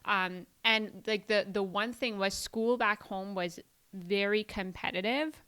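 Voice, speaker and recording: A woman's voice: mid-range voice, fairly even intonation, a little slow, sharp tone, clear, resonant tone. A woman who grew up speaking Farsi, a podcast guest telling stories in casual chat, with many "like" fillers. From Iran. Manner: chatty storytelling, many "like" fillers.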